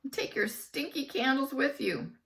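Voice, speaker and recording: A woman voicing a gleeful, villainous male school official who shouts and cackles like an evil mad scientist. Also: sits high, lively intonation, normal speed, creaky, distant mic, voice pushed up, exaggerated voice. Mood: gloating.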